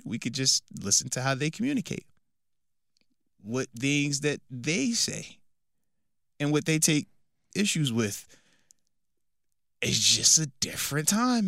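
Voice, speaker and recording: A man in his thirties co-hosting a sports show, joking around and repeating himself for effect. His voice sits high and rises and falls a lot. The sound is dry and close to the mic. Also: a little slow, creaky, pauses often, no room sound. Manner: animated, playful, repeats phrases for emphasis. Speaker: co-host, former football player. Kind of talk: casual sports radio banter.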